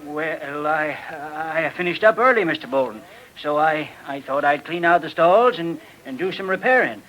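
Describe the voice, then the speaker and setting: An old radio recording of a meek farm hand. His voice is sharp and somewhat high, and he talks in a hesitant, homespun rustic way, as in 1940s radio drama. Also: animated, moderate pace, poor audio. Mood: earnest. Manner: hesitant, folksy double negatives. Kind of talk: 1940s radio mystery acting.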